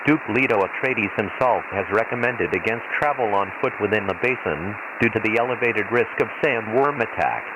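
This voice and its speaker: A hissy radio transmission carries a man acting as an official male weather announcer from a science fiction desert world. His voice is fairly low, filtered like a radio broadcast. He reads hazard warnings in a formal, measured, impersonal way, as a scripted emergency bulletin. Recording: hissy, noisy shortwave-style radio transmission.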